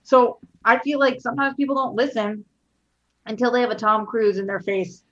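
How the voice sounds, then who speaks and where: A woman from Boston in casual conversation as a co-host, talking loudly and passionately and swearing freely. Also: on the high side, moderate pace, highs cut off. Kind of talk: casual chat.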